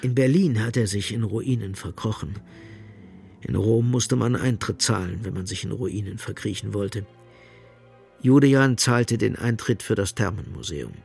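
A man's voice: on the low side, a little slow, creaky, darkish tone, slightly rough, soft background music. A man narrating a literary radio play in a studio. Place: dry, close studio.

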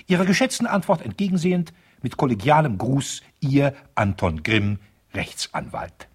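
An actor playing a vain, insistent divorce lawyer in a 1970s radio play, reading out formal letters with pompous, stilted diction and a husky voice. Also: male voice, medium pitch, animated, moderate pace.

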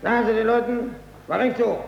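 An actor in a 1940s German radio play, playing a clipped, officious but courteous Prussian captain who is an impostor. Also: male voice, very high-pitched, steady tone, brisk, creaky. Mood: brisk, official. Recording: echoey, dull, hissy old radio recording.

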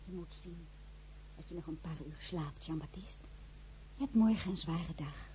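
A woman playing a caring crown princess and gracious hostess in a radio drama, composed, courteous and gently firm. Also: on the low side, moderate pace, a little hiss or hum, natural voice, low-quality recording. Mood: gentle.

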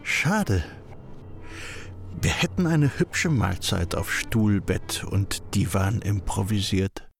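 An actor in a German radio play playing an urbane, wryly amused husband, speaking in a leisurely, ironic, cultivated way. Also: male voice, fairly low pitch, very animated, slow, vocal fry, soft background music, heavily acted. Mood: casual regret.

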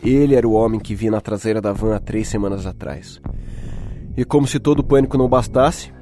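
A man's voice: lowish voice, brisk, warm tone, soft background music, close to an everyday voice. A Brazilian man playing a frightened young captive who gives an anxious, colloquial first-person testimony in a horror audio drama. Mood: fearful. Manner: anxious, colloquial confessional telling.

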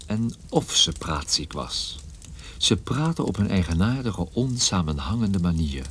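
A man playing a troubled, wry and guarded private detective in a radio play, with a husky voice and a dry, hesitant manner. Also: sits low, very animated, unhurried, fairly bright tone, slight background noise, heavily acted. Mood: wry narration. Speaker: lead character and narrator. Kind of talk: radio play narration and dialogue.

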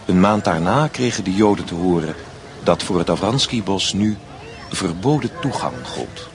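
A man reading scripted, documentary-like narration for a radio play in a measured, formal manner. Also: deep voice, animated, soft background music.

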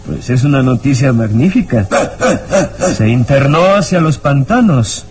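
A man playing a slow, gloating radio villain. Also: medium pitch, lively intonation, darkish tone, a little hiss or hum, natural voice. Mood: sinister, mocking. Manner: gloating, sinister, breaks into cackling laughter. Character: gaunt, nervous, gleefully wicked male villain in hiding. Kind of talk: old radio serial melodrama acting. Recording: radio drama with background music. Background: dramatic music.

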